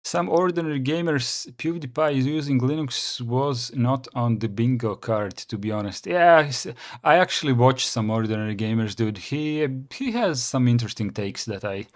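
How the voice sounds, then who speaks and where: A man with a Croatian accent chats through a reaction video in a casual, unscripted way. He talks haltingly, full of fillers and false starts, at a middling pitch. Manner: hesitant, many fillers and restarts. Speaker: channel host reacting to a video.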